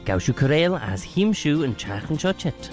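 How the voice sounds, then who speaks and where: A man introducing a radio music programme in an archive broadcast, with a smooth, flowing delivery. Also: fairly high pitch, very animated, normal speed.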